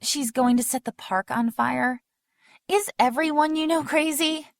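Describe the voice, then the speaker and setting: A woman's voice: high-pitched, a little slow, gravelly, no echo, studio quality. A woman playing a defiant, sarcastic and frightened young woman in an audio drama, indignant and skeptical in her delivery.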